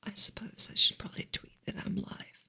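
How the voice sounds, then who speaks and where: A woman ASMR streamer whispering softly and breathily as she chats to her viewers in a gentle, halting, unhurried way. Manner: soft, unhurried, gentle, halting with long pauses. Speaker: ASMR livestream host.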